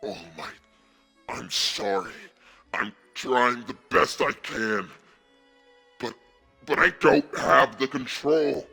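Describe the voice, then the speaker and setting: A man's voice: mid-range voice, lively intonation, slow pace, vocal fry, pauses often, pushed and bright, breathy edge, soft background music, full character voice. A man voicing an anguished young male ninja losing control, pained and pleading. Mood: despairing.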